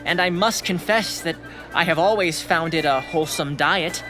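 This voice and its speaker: An actor playing an earnest, bookish and plucky schoolboy in an audio drama. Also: male voice, fairly high pitch, moderate pace, music in the background, natural voice. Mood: mock grand.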